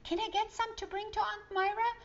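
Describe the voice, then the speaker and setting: A woman voicing a wide-eyed, eager little girl in a children's storybook, excited and marvelling. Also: very high voice, brisk, lo-fi sound, voice pushed up. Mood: delighted, eager. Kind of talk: children's storybook character reading.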